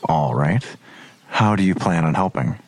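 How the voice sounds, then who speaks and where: An actor playing a weary, sardonic police detective in an audio drama, with a dry, blunt, skeptical delivery. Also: male voice, low voice, lively intonation, measured pace, a little mellow, poor audio. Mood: skeptical, impatient. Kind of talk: naturalistic audio drama acting.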